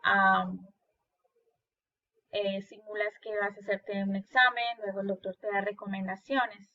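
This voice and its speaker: A woman's voice: medium pitch, level tone, unhurried, sharp tone, dull audio. A woman English teacher with a Spanish accent, patiently explaining a worksheet and translating each phrase into Spanish. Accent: Spanish-speaking. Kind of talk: recorded lesson walking through a worksheet.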